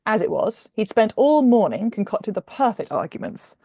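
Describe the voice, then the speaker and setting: A British woman speaking as a guest author, conversational and expressive as she introduces and reads fiction. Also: a little high, moderate pace, no echo. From Britain.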